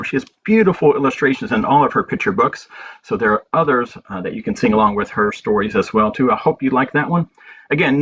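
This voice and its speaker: A man's voice: medium pitch, fairly bright tone. A man hosting a children's storytime from home, speaking simply as he reads aloud and chats to young listeners. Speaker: library storytime host. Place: at home, indoors. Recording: dull home recording with background noise.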